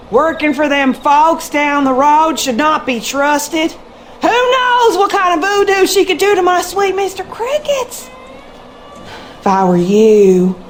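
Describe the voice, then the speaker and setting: A woman playing a genteel, plaintive Southern wife in a video game, with a Southern US accent and a drawn-out delivery. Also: high voice, a little hiss or hum, rough recording. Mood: suspicious. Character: wistful, genteel Southern wife who dotes on her dolls. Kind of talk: video game character acting.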